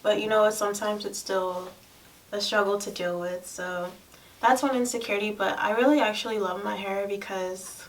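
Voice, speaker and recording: A soft-spoken young woman with a girlish voice, chatting casually and candidly to camera about personal things. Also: medium pitch, steady tone, normal speed. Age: young adult. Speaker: lifestyle vlogger. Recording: distant mic, faint room noise.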